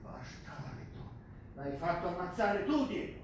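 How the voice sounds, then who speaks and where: A man's voice: high voice, very animated, a little slow, vocal fry, full character voice. A male stage actor playing a bitter, defiant rugby coach, confrontational and accusing in a theatrical drama. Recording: very echoey distant stage recording, faint noise.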